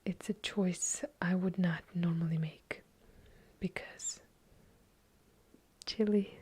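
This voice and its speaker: A woman with an Eastern European accent whispering softly through a casual ASMR question-and-answer chat, correcting herself now and then. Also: fairly low pitch, steady tone, breathy edge. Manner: soft unvoiced whispering, unhurried, chatty with small self-corrections.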